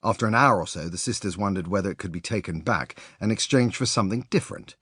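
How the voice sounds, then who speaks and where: A man reading a sports biography as an audiobook narrator, in an expressive, measured storytelling manner. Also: on the low side, slightly rough, dry recording, clean studio sound.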